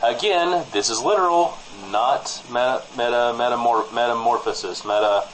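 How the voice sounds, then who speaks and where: A man co-hosting a comedy podcast on a studio mic, bantering through mock local news in a deadpan, rambling way. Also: medium pitch, normal speed, poor audio. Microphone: studio microphone. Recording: faint background noise.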